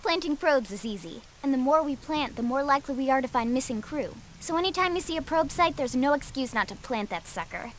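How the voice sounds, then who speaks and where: A woman's voice: high-pitched, animated, a little fast, hardly any pauses, slight background noise. An actress playing an eager, chirpy young female military technician in a video game. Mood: upbeat, eager. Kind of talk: scripted video game dialogue.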